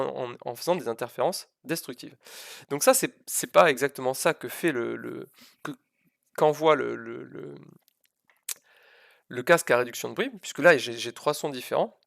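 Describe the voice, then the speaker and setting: A man teaches physics on a live stream in a casual, self-deprecating way. His voice is medium-pitched with a gravelly edge, recorded very dry. He hesitates and repeats himself, leaning on the key words. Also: fairly bright tone, dry recording. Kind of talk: informal live lesson, explaining a demonstration.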